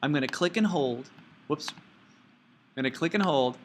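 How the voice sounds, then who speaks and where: A man giving informal step-by-step software instruction as a teacher, with folksy, playful phrasing. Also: on the high side, expressive, moderate pace, slight background noise. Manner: folksy, playful asides and sound words.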